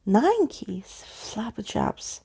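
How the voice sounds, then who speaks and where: Woman playing a pompous gravelly skeleton gentleman. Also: medium pitch, very animated, drawn-out words, full character voice. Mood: proud, oblivious. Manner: theatrical, boastful, uses whimsical invented words. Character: pompous, cheerful male living skeleton with key fingers.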